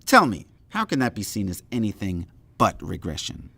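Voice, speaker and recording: An actor playing a stern, uncompromising intellectual in an audio play, speaking in formal, emphatic, articulate sentences. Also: male voice, lowish voice, huge pitch swings, normal speed, vocal fry, bright, forceful tone, slightly rough, dry recording, full character voice. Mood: firm, challenging. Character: stern, uncompromising Northern intellectual doctor, righteous and critical. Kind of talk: dramatic audio play dialogue.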